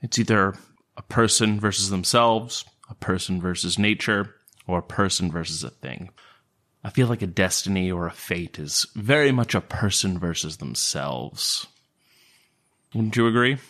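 A man performs a reserved serpent-folk thinker for a tabletop fantasy game. The voice sits fairly low. He words things formally and philosophically, measured and cerebral. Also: fairly bright tone. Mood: lecturing, earnest. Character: stiff, cerebral male serpent-folk outcast, emotionally reserved.

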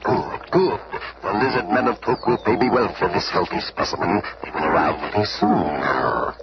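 An actor playing an imperious, gloating super-villain tyrant in a superhero radio serial. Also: male voice, low-pitched, normal speed. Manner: gloating, commanding. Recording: muffled, noisy old radio recording.

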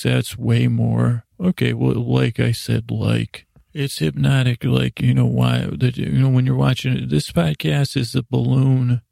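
A man hosting a sleep podcast, rambling in a halting, self-interrupting way with silly, self-deprecating asides that trail off mid-thought. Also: mid-range voice, vocal fry, warm tone, no room sound, clean studio sound. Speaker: podcast host.

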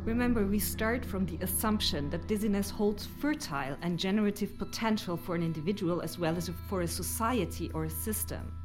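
Female podcast host, mid-pitched, articulate scripted delivery, faint music underneath. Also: fairly quick, few pauses.

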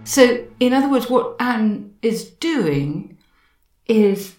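A woman's voice: fairly high pitch, expressive, slow, a little mellow, roomy sound, far from the mic, soft background music. A woman podcast host thinking aloud and summarizing her guest's points. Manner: thoughtful, summarizing. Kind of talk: interview questions.

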